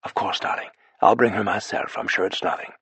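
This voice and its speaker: A man voicing a gracious, affectionate aristocratic hostess who speaks softly and fondly. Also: lowish voice, fairly even intonation, fairly quick, breathy edge, no echo, pitched below the performer's own voice.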